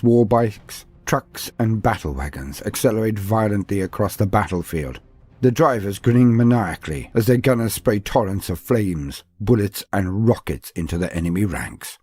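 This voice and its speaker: A man's voice: fairly low pitch, darkish tone, breathy edge. A man reading scripted fantasy battle lore as a narrator, with a grave, theatrical delivery. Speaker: narrator of a fantasy war-game lore video. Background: music.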